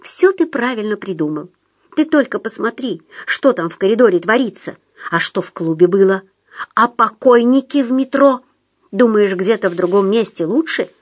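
A woman's voice: mid-range voice, animated, moderate pace, natural voice. A woman voicing an insinuating male phantom in an audiobook, coaxing and nagging in a familiar, plaintive, conspiratorial way. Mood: urgent coaxing. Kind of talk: audiobook character voice.

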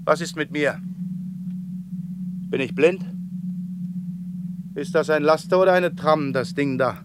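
A man's voice: a little high, slow. A man acting a self-important traffic policeman in a radio play, with an emphatic, declamatory manner. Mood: bewildered. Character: self-important male traffic policeman, commanding, growing bewildered. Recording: clear street traffic noise.

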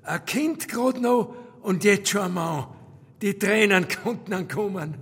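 An actor playing a sinister, fawning castle caretaker in a radio drama, with an Austrian accent and an erratic, muttering, coarse manner. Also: male voice, sits high, lively intonation, unhurried, gravelly, fairly bright tone, slightly rough, exaggerated voice. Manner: muttering, erratic, gushing then threatening. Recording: long cavernous reverb, music underneath.

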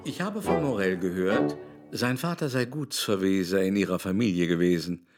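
Creaky, haughty aristocratic baron. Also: male voice, low-pitched, expressive, moderate pace, loud music behind the voice. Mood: haughty, confiding. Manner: mannered, ornate, disdainful. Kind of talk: German radio drama acting.

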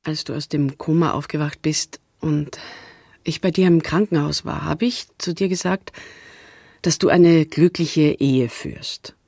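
Casting: grave confessor, creaky husky voice, deliberate and halting, radio drama. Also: female voice, low-pitched, warm tone, exaggerated voice. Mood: grave, confessing. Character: slow, hesitant confessor admitting a comforting lie. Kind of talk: modern radio drama acting.

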